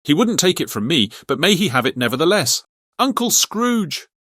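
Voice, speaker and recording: A man playing a merry, good-natured young gentleman in a dramatized audiobook, with a hearty, exclamatory delivery. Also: on the high side, sing-song, moderate pace, no room sound, studio quality.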